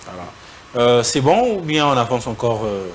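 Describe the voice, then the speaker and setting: A man hosting a casual interview, firing quick true-or-false questions in a relaxed way. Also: medium pitch, slight background noise. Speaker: interview host. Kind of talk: casual interview in French mixed with Lingala. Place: indoor studio set.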